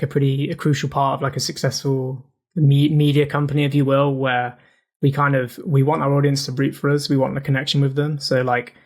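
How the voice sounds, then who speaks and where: Flat-voiced man, relaxed and casual. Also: medium pitch. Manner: relaxed, many fillers like "like" and "um". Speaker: newsletter editor. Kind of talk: casual interview talk.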